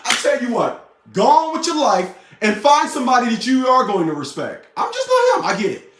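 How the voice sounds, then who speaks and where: A man's voice: extremely high pitch, huge pitch swings, a little fast, fairly bright tone, reverberant, distant mic. Blunt, profane man in his fifties. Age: 50s. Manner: blunt, profane and boastful, with repeated phrases. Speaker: vlogger. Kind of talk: casual monologue to camera.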